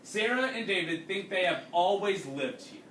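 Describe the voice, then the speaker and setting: A man with a fairly high, very bright and forceful voice reads aloud like a classroom teacher. He tells the story and explains it to young listeners as he goes. The sound is roomy and set back from the mic. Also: animated, normal speed. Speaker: teacher reading aloud to students. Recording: roomy, distant mic with faint noise.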